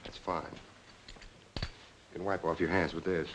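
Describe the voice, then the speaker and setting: A man's voice: low voice, steady tone, measured pace. A man playing a curt, businesslike police lieutenant in a 1940s crime film, with a clipped, authoritative manner. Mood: cool, probing. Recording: noisy old 1940s film soundtrack.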